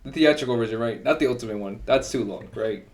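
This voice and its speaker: Casting: male podcast co-host, medium-pitched, tongue-in-cheek, casual joking movie talk. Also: quick pace. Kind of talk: casual joking film chat.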